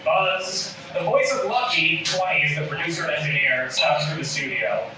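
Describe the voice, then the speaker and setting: A man's voice: on the high side, normal speed, a loud crowd. A man reading scripted stage directions as comedic narration at a live table read. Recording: very echoey room, distant mic, noisy.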